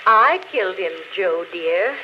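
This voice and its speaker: Sweetly mocking killer played by a woman, lilting and lively, muffled old radio recording. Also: medium pitch, measured pace, slight background noise, lightly acted. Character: coolly confessing murderess, sweetly mocking and unrepentant. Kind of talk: 1940s radio acting.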